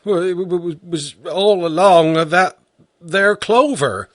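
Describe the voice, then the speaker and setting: A man's voice: on the high side, huge pitch swings, slow pace, fairly bright tone, slightly rough, no echo, studio quality, voice pushed up, full character voice. A man voicing a worn-out peasant farmer who stammers and mumbles in plain rustic speech. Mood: bewildered.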